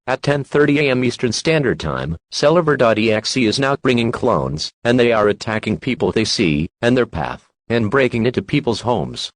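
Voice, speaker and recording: A man's voice: mid-range voice, no room sound. A man playing a formal, impersonal announcer reading a scripted emergency alert. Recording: very loud broadcast noise.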